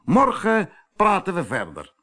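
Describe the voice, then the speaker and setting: A man's voice: high-pitched, wildly swooping pitch, a little slow, sharp tone, voice pushed up, full character voice. Man playing a firm, commanding storybook badger. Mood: firm, sensible. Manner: firm, rallying, authoritative. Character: steady, commanding male badger leader of animal friends.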